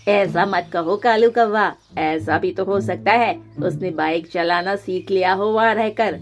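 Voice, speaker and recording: A woman voicing a mild male parrot sidekick in a cartoon, agreeable but gently cautioning. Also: medium pitch, measured pace, lightly acted. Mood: measured.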